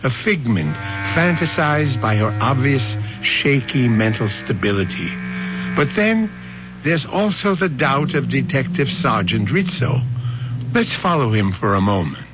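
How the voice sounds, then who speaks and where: Ominous mystery-show narrator: portentous, widely swung intonation, theatrical phrasing, muffled old broadcast with prominent music. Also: male voice, mid-range voice, big pitch swings, unhurried. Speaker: host narrator. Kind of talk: dramatic scripted radio narration. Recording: dull old radio broadcast recording.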